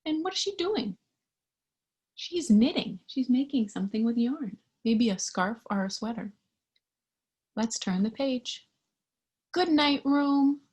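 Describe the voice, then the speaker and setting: A woman with a fairly high, breathy voice reads a picture book to small children. She takes her time, lilting gently and pausing to ask simple questions. Her delivery is full of wonder and sometimes drops to a hush. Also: lively intonation. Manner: gentle, singsong, sometimes hushed to a whisper. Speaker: storyteller reading a picture book to young children. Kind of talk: interactive children's read-aloud with questions to the listener.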